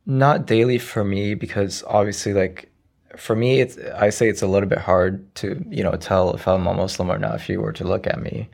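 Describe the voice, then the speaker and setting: A young man of about twenty from Iraq, whose first language is Arabic, talks in a low voice with a gravelly edge. His answers come out soft and hesitant, padded with frequent "you know"s, as an interview guest in a casual conversation. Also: fairly even intonation, normal speed. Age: around 20.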